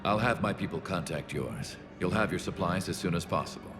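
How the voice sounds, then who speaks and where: A man's voice: sits low, fairly quick, over music. A man voicing a weary, guarded alien refugee leader in a video game, speaking formally and warily. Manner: formal, wary.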